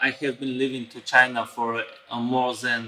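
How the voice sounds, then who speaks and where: A young man from Kyrgyzstan whose first language is Russian, speaking halting, careful English with many pauses as an interview guest on a video call. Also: medium pitch, little pitch movement, sharp tone, reverberant, low-quality recording. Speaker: guest, logistics company worker. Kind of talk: casual interview.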